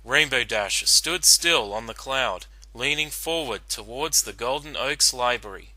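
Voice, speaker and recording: A man's voice: mid-range voice, lively intonation, a little slow, sharp tone, no room sound, poor audio. A man narrating a written story as a dramatic reading.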